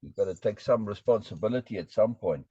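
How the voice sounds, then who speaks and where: A man giving blunt, emphatic advice to a friend in casual conversation, slowing down to press his points. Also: lowish voice, warm tone, husky, no echo, lo-fi sound. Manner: emphatic, lecturing advice, slow for stress.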